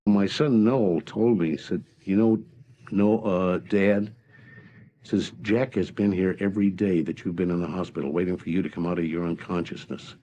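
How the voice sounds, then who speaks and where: A man's voice: low voice, fairly even intonation, normal speed, warm tone. An older man recalling things conversationally, on dull archive audio. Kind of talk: conversational recollection.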